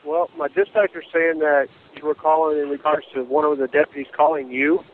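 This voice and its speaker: A man's voice: fairly high pitch, steady tone, a little fast. Polite male officer on tinny phone. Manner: polite, matter-of-fact. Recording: noisy, tinny phone line.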